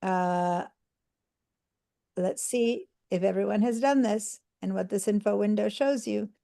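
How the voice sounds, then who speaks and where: Gravelly-voiced woman teaching a computer class. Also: mid-range voice, measured pace, dark tone. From Argentina. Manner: patient, step-by-step explaining with fillers. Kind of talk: live online class demonstration.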